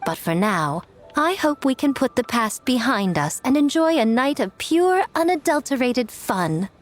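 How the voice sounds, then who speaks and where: A woman's voice: high-pitched, big pitch swings, measured pace, slight background noise. A woman playing a poised, gracious young festival host making formal announcements in a video game. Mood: gracious, upbeat. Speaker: master of ceremonies.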